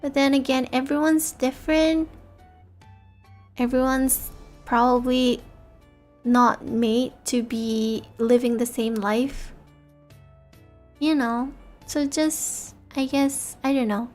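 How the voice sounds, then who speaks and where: A woman's voice: sits high, fairly even intonation, takes it slowly, pauses often, a little mellow, soft background music. A woman in her thirties, a native speaker of English and Malay, chatting reflectively with viewers late at night, rambling and correcting herself as she goes. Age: 30s. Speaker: VTuber streamer.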